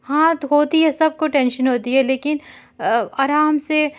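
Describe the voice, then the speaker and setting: A woman chatting casually on a call, consoling and gently scolding as she tells a simple story in a conversational way. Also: high-pitched, steady tone, a little slow. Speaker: caller consoling an older sister figure. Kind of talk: casual phone chat with storytelling. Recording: dull lo-fi call recording.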